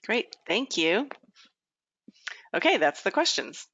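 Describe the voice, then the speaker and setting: A woman's voice: mid-range voice, big pitch swings, takes it slowly, a bit bright, breathy edge, dry recording. A woman speaking informally as a participant on a conference call, relaying questions to the group. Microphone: conference call line. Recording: dull, lo-fi conference call audio.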